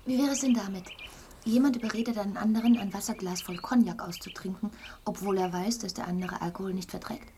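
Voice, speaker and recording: An actress playing a troubled, quietly desperate English wife in a German radio drama, with hushed, searching questions. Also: female voice, mid-range voice, steady tone, fast talker, a little hiss or hum, close to an everyday voice. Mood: probing.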